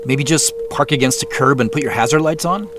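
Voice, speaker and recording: A man's voice: mid-range voice, a little fast, music in the background, lightly acted. A man acting in an audio drama as a wary, concerned character directing a tail by phone, talking in a natural, reactive way.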